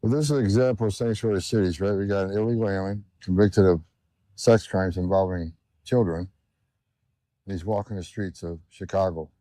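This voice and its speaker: A man's voice: low voice, steady tone, a little fast, dark tone, no echo, low-quality recording. A man questioning someone on camera in plain words and explaining the situation as commentary. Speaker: interviewer questioning a detainee on camera.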